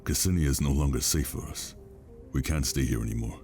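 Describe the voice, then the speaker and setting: A man's voice: very deep voice, fairly quick, darkish tone. An actor playing a weary, protective father in a drama, firm and reassuring, with a grave delivery. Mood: urgent, firm. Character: weary protective father fleeing with his child. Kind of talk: dramatic film-style acting. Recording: music behind the voice.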